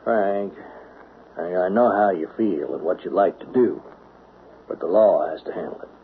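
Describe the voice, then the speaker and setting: An actor playing an easygoing but shrewd small-town sheriff in a radio drama, folksy and colloquial. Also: male voice, deep voice, normal speed. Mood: satisfied, reassuring. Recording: hissy narrow-band old radio.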